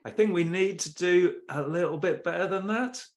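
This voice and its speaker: A man's voice: high-pitched, moderate pace. A man playing a practical, cash-strapped small business owner in an amateur comic story. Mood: weary, practical. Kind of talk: amateur comic audio drama.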